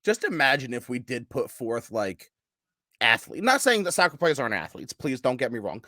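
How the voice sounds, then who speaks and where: Excitable male sports podcaster, dry sound. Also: mid-range voice, normal speed, no room sound. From United States. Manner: animated, swears, bursts into yelling. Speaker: podcast co-host. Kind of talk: casual sports chat.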